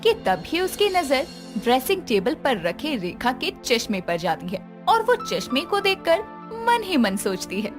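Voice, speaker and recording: A woman's voice: high-pitched, very animated, unhurried, music in the background. A woman narrating a scripted moral story as its storyteller.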